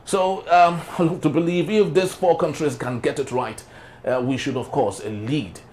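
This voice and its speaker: A man with a Nigerian accent hosting a broadcast talk show, phrasing his points deliberately and stressing items in lists. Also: on the high side, steady tone, darkish tone, slight background noise. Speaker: TV host.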